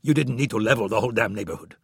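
A man voicing a heavyset, embattled older prime minister who shouts in a raised, strained pitch. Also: rapid-fire, gravelly, breathy edge, no echo, clean studio sound. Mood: indignant.

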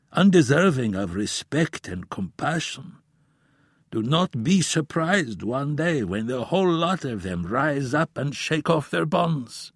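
Guy doing a shaky raspy old professor, slow and heavy, pitched up from his normal voice, dry close sound. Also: no echo, exaggerated voice. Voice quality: raspy, slightly unsteady. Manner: slow, weary, impassioned. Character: frail elderly male professor, embittered and grave. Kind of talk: audiobook character voice.

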